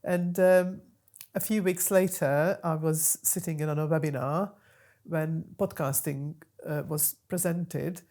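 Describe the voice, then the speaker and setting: A woman's voice: low voice, fairly even intonation, measured pace, mellow. A woman in her fifties, a bit nasal from a cold, chatting casually about her own story in a small studio, with plenty of ums. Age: 50s. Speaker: podcast host and guest. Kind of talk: casual interview chat.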